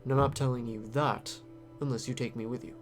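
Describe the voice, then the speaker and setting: A man's voice: medium pitch, fairly even intonation, a little fast, music in the background, close to an everyday voice. A man voicing a scheming, guarded insider in a visual novel game, casual and pressing as he bargains. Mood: defiant, guarded. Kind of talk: visual novel character read-aloud.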